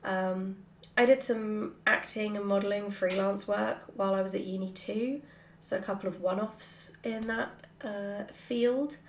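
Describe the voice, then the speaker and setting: A woman with a medium-pitched voice talks casually as she hosts a Q&A. Her delivery is animated and giggly. She has an informal, self-deprecating way of answering. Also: measured pace, a little hiss or hum. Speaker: writer hosting a follower Q&A. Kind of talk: casual chat to camera, answering questions.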